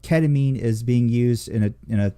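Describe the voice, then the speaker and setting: A man's voice: mid-range voice, moderate pace, warm tone, clean tone. A man hosting a casual chat show, talking in a blunt, rambling way with plenty of swearing. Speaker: podcast host. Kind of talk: casual chat, profanity-laden.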